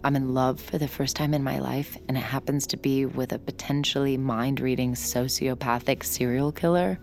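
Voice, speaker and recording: A woman's voice: rumbling low, steady tone, moderate pace, creaky, a little mellow. An actress playing a guarded, forgiving young woman with a secret gift, in understated audio drama dialogue and confiding inner monologue. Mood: uneasy, confiding.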